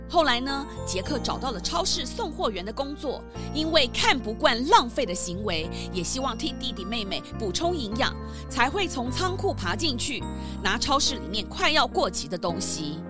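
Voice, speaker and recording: A woman narrating a children's story from a script in an expressive storytelling style. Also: sits high, moderate pace, over music.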